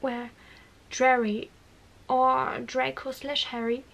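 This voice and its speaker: A woman playing a bookish, exasperated young witch in an ASMR roleplay, halting and bossy, often whispering. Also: high-pitched, level tone, creaky, a bit bright, exaggerated voice. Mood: lecturing. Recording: faint background noise.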